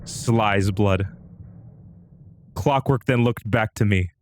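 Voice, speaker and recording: A man's voice: on the low side, takes it slowly, faint music behind. A man livestreaming a dramatic reading of an amateur horror story. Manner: breaks off into amused asides.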